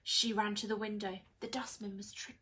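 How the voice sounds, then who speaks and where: A woman reading a children's story aloud like a primary school teacher, with expressive, dramatised narration. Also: medium pitch, moderate pace.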